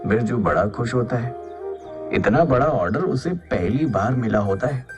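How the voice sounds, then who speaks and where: A man's voice: mid-range voice, lively intonation, normal speed, faint music behind. A man narrating a scripted children's cartoon story as its storyteller.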